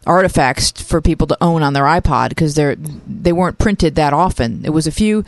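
A woman's voice: low voice, dry recording. Chatty, teasing middle-aged woman co-host. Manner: quick, chatty, playful teasing. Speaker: podcast co-host. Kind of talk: casual chat. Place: studio. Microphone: studio microphone.

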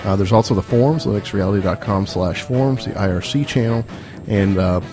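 A man's voice: lowish voice, brisk. A man hosting a podcast, casually signing off while losing his voice to a cold, rambling with many fillers. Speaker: podcast host. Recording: music playing underneath.

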